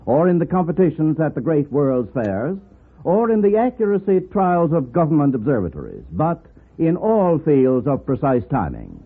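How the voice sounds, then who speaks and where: A man's voice: fairly high pitch, breathy edge. A man reading a formal 1950s radio advertisement with polished, stately salesmanship. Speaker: commercial announcer. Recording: muffled, narrow old radio recording.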